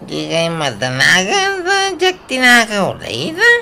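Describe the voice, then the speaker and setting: A woman's voice: mid-range voice, huge pitch swings, unhurried, gravelly, pushed and bright, a little hiss or hum, heavily acted. A woman playing a brusque, irritable member of a poor family in a comedic radio drama, with a Filipino Ilocano accent.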